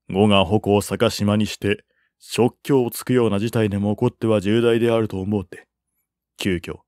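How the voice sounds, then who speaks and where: A man voicing a dignified military strategist in an audiobook, speaking gravely and formally. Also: on the low side, darkish tone, dry recording, clean studio sound, close to an everyday voice.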